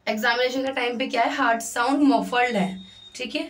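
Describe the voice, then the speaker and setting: A woman tutoring for a nursing exam, reading each question slowly and then explaining the answer in mixed Hindi and English. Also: on the high side, fairly even intonation, noisy background. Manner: mixes Hindi and English, repeats "ठीक है". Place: voice-over on screen recording.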